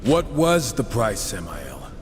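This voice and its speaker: A man's voice: lowish voice, huge pitch swings, slow, noisy background, heavily acted. A man voicing a cocky, wisecracking gunslinger horseman in a video game, sardonic and irreverent. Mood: sardonic, irritated. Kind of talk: casual-toned video game acting. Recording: echoing hall reverb, music behind.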